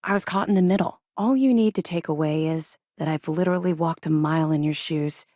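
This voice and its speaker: A woman's voice: sits low, a little fast, warm tone, dry recording. A woman voicing a stern, seasoned space-navy officer and reformed ex-pirate in a video game, with a clipped, authoritative, dry delivery. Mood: dry, guarded. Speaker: second-in-command military officer in a sci-fi game.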